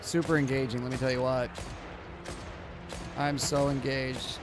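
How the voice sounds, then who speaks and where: A man's voice: mid-range voice, little pitch movement, a little slow, background noise. A man giving casual live commentary as he streams a game, with a wry, sarcastic, grumbling manner.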